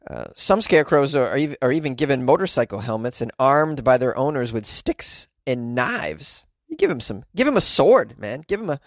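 Male comedy news host, goofy and slangy, very animated delivery, dry sound. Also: medium pitch, moderate pace, few pauses, dry recording. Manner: goofy, excitable, jokey, slangy asides. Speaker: host commenting on odd news stories. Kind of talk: casual comedic news commentary, reading aloud and riffing.

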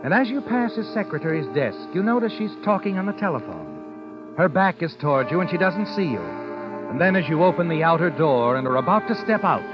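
An actor playing an ominous, needling narrator in an old-time radio suspense drama, addressing the guilty heroine as you. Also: male voice, a little high, sing-song, a little fast. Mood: ominous, urgent. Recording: dull old radio recording, loud music behind. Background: dramatic music.

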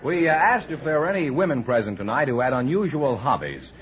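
Animated American male radio announcer. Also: medium pitch, fairly quick. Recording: muffled old radio recording, faint noise.

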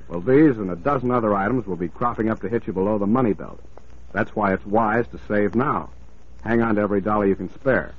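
Husky 1940s radio announcer, male. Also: fairly low pitch. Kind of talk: 1940s scripted public service narration. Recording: muffled 1940s radio recording.

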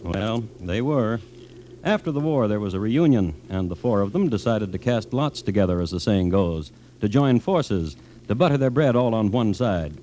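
A man narrating an old radio western in a measured, dramatic, folksy storytelling style. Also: lowish voice, expressive, warm tone, a little hiss or hum, natural voice. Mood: wry, storytelling.

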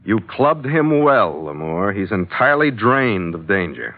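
An actor playing a wry, tough sea captain who narrates and stars in an old-time radio adventure with sardonic, terse delivery. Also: male voice, fairly low pitch, very animated, unhurried, full character voice. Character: wry, tough, hard-boiled male sea captain hero. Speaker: narrating lead of an adventure serial. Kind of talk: 1940s-style radio drama acting and narration. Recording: tinny vintage radio recording with music.

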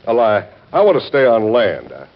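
A man's voice: lowish voice, huge pitch swings, normal speed. A man acting a folksy, cheeky sailor down on his luck, talking in nautical slang with familiar, hesitant patter in an old-time radio drama. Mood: cheeky, hopeful. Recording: dull, band-limited old radio recording.

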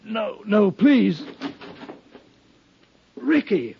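A man's voice: high voice, very animated, very slow, gravelly, a little hiss or hum, full character voice. An actor playing an agitated, devoted violin craftsman in a 1950s radio drama, nervous, stammering and protesting. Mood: anguished, pleading. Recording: dull vintage radio recording.

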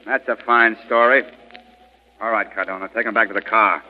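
An actor playing a gruff, grudging police commissioner in old radio drama style. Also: male voice, on the low side, fairly even intonation, brisk, phone-quality sound, close to an everyday voice. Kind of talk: 1940s radio acting.

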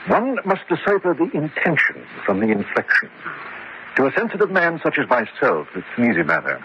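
An actor playing a pompous, suave and self-admiring gentleman adventurer in a florid, theatrical comic horror radio drama style. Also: on the high side, wildly swooping pitch, brisk. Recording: muffled, noisy old radio drama recording.

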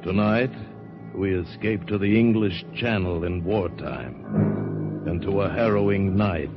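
A man's voice: low-pitched, unhurried, lo-fi sound. A man announcing dramatically in the style of 1940s radio drama, on an old recording. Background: loud music.

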